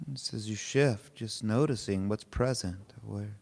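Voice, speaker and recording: A man leading a guided meditation, speaking in short, unhurried, gently informal phrases with pauses between them. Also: on the low side, gravelly. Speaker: meditation teacher. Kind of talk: guided meditation, spoken softly to a group.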